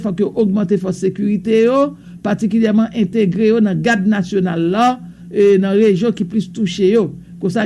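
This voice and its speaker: Brief: high-pitched Haitian male news presenter, hesitant delivery, faint hiss behind. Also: warm tone. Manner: reads bulletins in a loose mix of French and Creole, with hesitations. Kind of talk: radio news reading.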